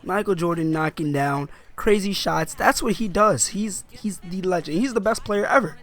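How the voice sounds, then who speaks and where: A young man with a slightly rough, sick voice, giving chatty, hyped commentary over his basketball video game. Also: high voice, normal speed, fairly bright tone. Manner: hyped, chatty, clears throat often. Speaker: gaming YouTuber. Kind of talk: casual gameplay commentary.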